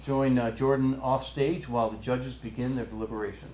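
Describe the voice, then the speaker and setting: A man's voice: medium pitch, steady tone, a little fast. Upbeat male host in an echoey room. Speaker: competition host. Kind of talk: live event hosting. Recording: roomy echo with faint background noise.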